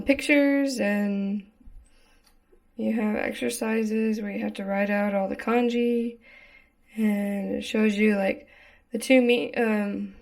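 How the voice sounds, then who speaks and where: Slow, hesitant young woman. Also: mid-range voice, gravelly, darkish tone. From Texas, United States. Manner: hesitant, lots of ums and likes. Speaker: vlogger. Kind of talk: casual vlog chat.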